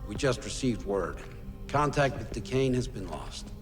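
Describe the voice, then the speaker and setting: Guy doing calm businesslike henchman giving a report in a game, big echoey hall, faint music. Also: medium pitch, a little slow, natural voice. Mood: composed, businesslike. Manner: formal, clipped, businesslike. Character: composed, deferential male corporate subordinate giving a report. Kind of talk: scripted video game dialogue. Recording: long hall reverb.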